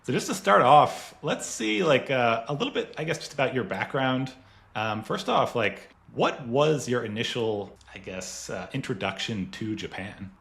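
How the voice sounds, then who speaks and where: A man's voice: mid-range voice, lively intonation, moderate pace, creaky, a bit bright. A man hosting a podcast, welcoming his guest and asking long, meandering questions. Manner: hesitant questions full of I guess and like. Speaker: podcast host. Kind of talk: podcast interview.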